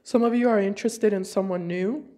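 A woman's voice: mid-range voice, a little fast, mellow. A woman reading tarot cards for her viewers, talking casually and thinking aloud with hesitant restarts, while sick with a cold. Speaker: tarot reader. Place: home room with decorated card table.

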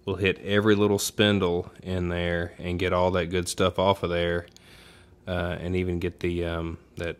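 A man's voice: low-pitched, monotone, normal speed, clean tone. A man giving a casual how-to narration in an informal, folksy way, thinking aloud as he goes, recorded in a room at home. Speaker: DIY demonstrator.

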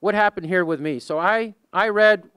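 Male, 40s, Texan accent, Spanish first language; rambling panelist, clear voice, dry close mic. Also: high voice, normal speed, bright, forceful tone, no echo. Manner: rambling, many fillers and restarts. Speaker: poet and high school English teacher on a panel. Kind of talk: casual panel talk. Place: museum meeting room with a small audience. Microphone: handheld mic.

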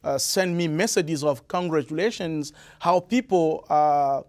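A young man from the Republic of the Congo with a Congolese French accent, giving halting interview answers full of fillers and repeats. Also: a little high. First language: Lari. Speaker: interview guest, musician. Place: studio with blue curtain. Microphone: lapel mic.

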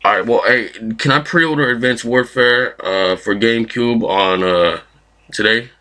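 A man's voice: medium pitch, unhurried, pushed and bright. Man putting on a cocky young thug. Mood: cocky, pushy. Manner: cocky, swearing, slangy. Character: brash slangy young male thug, caller's pretend son. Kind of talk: prank call character.

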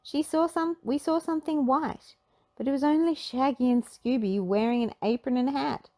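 A woman's voice: sits high, moderate pace, dark tone, no echo, voice pushed up. A woman voicing a clever, matter-of-fact teenage girl detective who explains clues decisively in a children's storybook. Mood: confident.

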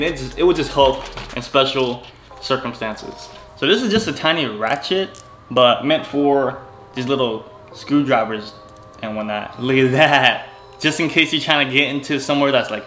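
A young man chats excitedly through a tool review, dropping slang and laughing as he goes. His voice sits at an ordinary pitch and rings around a bare garage. Music plays behind him. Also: moderate pace. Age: young adult. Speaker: car and tool vlogger. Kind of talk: casual product review. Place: home garage with cars. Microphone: camera on desk, seated facing it. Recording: echoey garage with background music.

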